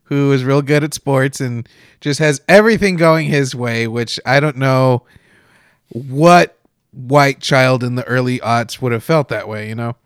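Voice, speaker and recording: A man's voice: medium pitch, unhurried, clean tone, dry recording, studio quality. A man co-hosting a casual film discussion, talking informally and hesitantly with a wry manner. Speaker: podcast co-host.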